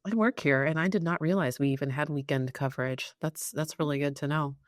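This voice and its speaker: A woman's voice: low voice, fairly quick, dry recording, clean studio sound. A woman co-hosting a podcast, asking questions and reacting in casual interview chat. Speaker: podcast co-host.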